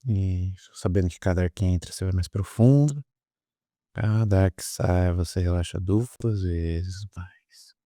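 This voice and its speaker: A man with a Brazilian Portuguese accent leading a guided meditation, soothing and repetitive, with pauses between drawn-out phrases. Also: sits low, mellow, no room sound, studio quality. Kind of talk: guided meditation, hypnotic induction.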